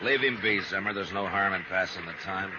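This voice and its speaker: An actor playing a steady, laconic frontier marshal with dry, measured authority, acting and narrating in a 1950s radio western. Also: male voice, low voice, very noisy, lightly acted. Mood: calm, firm. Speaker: lead character and narrator. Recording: muffled 1950s radio recording.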